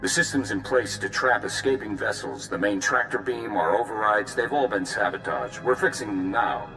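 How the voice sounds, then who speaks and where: A man's voice: fairly low pitch, moderate pace, close to an everyday voice. An actor playing a businesslike male security captain in a game cutscene, reporting urgently in formal military style. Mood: urgent, official. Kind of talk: video game cutscene acting. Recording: roomy echo, music behind.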